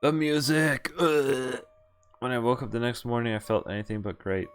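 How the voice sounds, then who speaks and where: A man's voice: mid-range voice, steady tone, moderate pace, clean tone, soft background music. A man streaming a visual novel, reading the text aloud and breaking off into casual asides. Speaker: game streamer.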